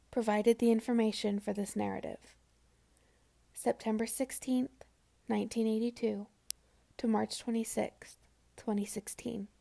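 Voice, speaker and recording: A woman narrating a scripted memorial profile, reading in a measured, solemn way. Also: on the high side, gravelly, warm tone.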